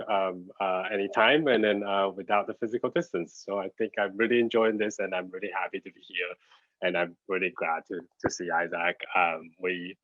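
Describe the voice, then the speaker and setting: A middle-aged man from Taiwan with a Taiwanese accent, giving an informal online talk as a designer in a halting, hesitant way while searching for words. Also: low voice, a bit bright, no room sound. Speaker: graphic designer and studio head giving a guest talk. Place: at home, on a video call. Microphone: computer video call. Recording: dull, lo-fi video call audio.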